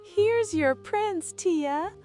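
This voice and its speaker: An excitable, spoiled little girl from a storybook, acted out by a woman, bubbly and pleading in a very high, swooping voice. Music plays behind the exclamations. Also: extremely slow, full character voice. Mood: teasing. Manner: squealing, exclaiming, begging.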